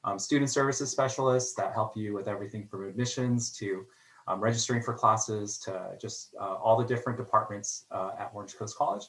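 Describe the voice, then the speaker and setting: A man from a college's international office presents an online information session for new students. He speaks in a friendly way and fills his pauses with "um" and "uh" over a video call. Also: on the low side, fairly bright tone, low-quality recording. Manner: friendly, filler-heavy delivery with frequent "um" and "uh". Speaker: international student services staff member.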